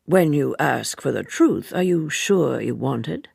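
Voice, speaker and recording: A woman plays a cool, worldly man in a literary audiobook. He is evasive and unhurried, his voice low and heavily creaky, with formal phrasing. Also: expressive, darkish tone, no room sound, clean studio sound, heavily acted. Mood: cool, detached. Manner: slow, detached, formal.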